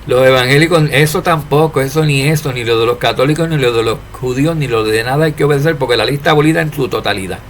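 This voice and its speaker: A man preaching an informal sermon to his followers, asking rhetorical questions and swinging between loud and soft. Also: mid-range voice, fast talker, few pauses, a little hiss or hum. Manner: preachy, rhetorical questions, animated rises and drops in loudness.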